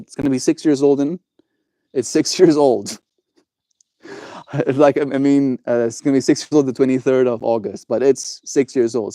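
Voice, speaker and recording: A man's voice: on the high side, dark tone, no echo. A young man chatting casually on his livestream, laid-back and encouraging, answering viewers and calling them bro.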